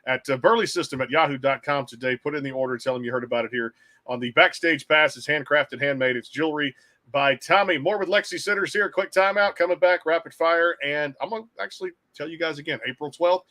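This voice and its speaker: Fast-talking rambling male show host. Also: on the high side, steady tone, bright, forceful tone. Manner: fast, rambling, repeats phrases. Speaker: podcast host. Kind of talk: interview show hosting.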